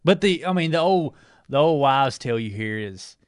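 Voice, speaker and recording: A man in his forties co-hosting a casual talk show, joking and easygoing, quick to laugh. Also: medium pitch, lively intonation, clear, resonant tone, dry recording, studio quality. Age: 40s. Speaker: co-host. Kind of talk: casual chat.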